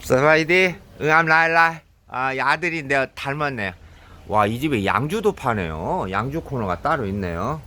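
A man with a cold, chatting casually as he films himself shopping in a convenience store, filling pauses with "yes". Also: mid-range voice, expressive, bright, forceful tone. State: has a cold. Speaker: vlogger. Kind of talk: casual running commentary while shopping.

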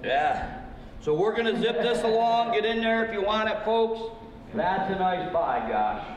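A man's voice: high-pitched, lively intonation, measured pace, lo-fi sound. A man working as a live auctioneer, folksy and blunt, talking straight to the bidders. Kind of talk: live auction sales patter. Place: large indoor auction room. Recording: very echoey hall, some background noise.

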